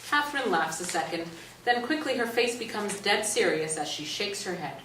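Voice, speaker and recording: A woman's voice: medium pitch, lively intonation, normal speed, bright, forceful tone. A woman narrating stage directions as a scripted reading for a play. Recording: roomy, distant stage recording, faint noise.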